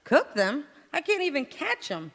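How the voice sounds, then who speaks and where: A woman's voice: high voice, huge pitch swings, a little slow, a bit bright, exaggerated voice. A woman playing a frazzled, hapless housewife in old-time radio sitcom style, with dry comebacks and flustered wailing. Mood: frazzled deadpan. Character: frazzled, hapless housewife overwhelmed by a cooking disaster. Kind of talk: golden-age radio sitcom acting on stage. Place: large indoor hall, live stage performance. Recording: echoey hall, community theatre stage.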